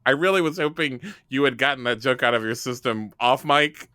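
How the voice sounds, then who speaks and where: A man's voice: mid-range voice, a little fast, sharp tone, no room sound, clean studio sound. A man hosting a podcast, chatting casually with a wry, joking manner. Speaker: podcast host. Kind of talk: casual scripted-game podcast introduction and chat.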